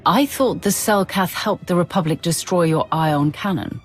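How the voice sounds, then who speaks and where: A woman playing a stern Sith warrior in a video game, speaking with crisp, controlled authority. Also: lowish voice, normal speed, faint music behind, lightly acted. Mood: businesslike. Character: stern, commanding female Sith warrior loyal to her Empire.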